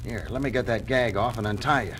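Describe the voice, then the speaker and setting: An actor playing a brave, earnest action hero in an audio drama, plain-spoken and direct. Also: male voice, fairly low pitch, a little fast, background noise, natural voice. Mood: urgent. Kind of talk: 1970s children's record audio drama acting.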